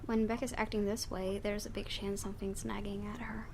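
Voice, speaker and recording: A woman streamer reads a visual novel's story aloud. Her pitch is average and she sometimes trips over words, slipping into casual commentary. Clear background noise sits behind her voice. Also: flat delivery, brisk. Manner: stumbles over words while reading. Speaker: let's play streamer. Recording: clearly noisy home recording.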